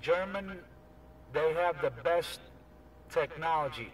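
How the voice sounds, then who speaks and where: Distant CB radio voice, man, fairly high, informal chat. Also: takes it slowly, slight background noise. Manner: informal, often adds "man". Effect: CB radio transmission. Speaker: CB radio operator.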